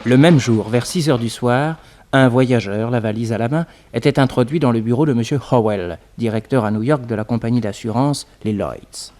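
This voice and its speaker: An actor playing a detective's assistant who narrates their adventures in a scripted French radio serial. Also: male voice, mid-range voice, normal speed, darkish tone, natural voice. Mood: measured. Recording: noisy old radio recording.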